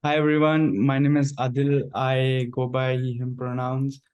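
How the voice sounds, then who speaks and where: Flat-toned young Indian man, halting. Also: medium pitch, dull audio. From New Delhi, India. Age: young adult. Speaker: graduate student panelist. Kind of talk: casual self-introduction. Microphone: video call microphone.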